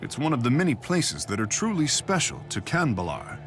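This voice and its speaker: An actor playing a stoic, proud exiled king in a video game, speaking in a formal, measured, deadpan manner. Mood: composed. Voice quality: deep. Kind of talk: scripted game dialogue. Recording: game music behind the voice.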